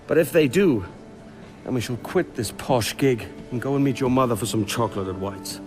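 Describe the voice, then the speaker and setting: A man's voice: mid-range voice, normal speed, natural voice. An actor playing a wry, affectionate seafaring father in a video game. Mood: playful, tender. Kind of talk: video game cutscene acting. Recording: loud music over the voice.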